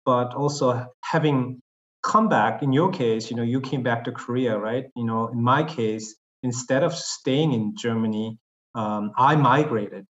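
A man who is a guest on a podcast, talking in a measured, thoughtful way with hesitant fillers. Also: medium pitch, a bit bright. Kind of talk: conversational podcast interview. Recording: dull lo-fi sound.

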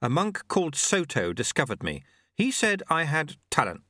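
A nervous young novice monk, as a male narrator puts him on, earnest and deferential. His pitch is raised and lively, and the tone is bright. He speaks hesitantly and with awe, as a storybook character. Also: normal speed, no echo, clean studio sound, heavily acted. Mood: earnest, respectful.

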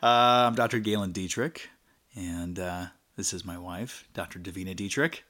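Low-voiced energetic male podcast host. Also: normal speed. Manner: energetic, rambling with fillers. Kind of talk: casual chat.

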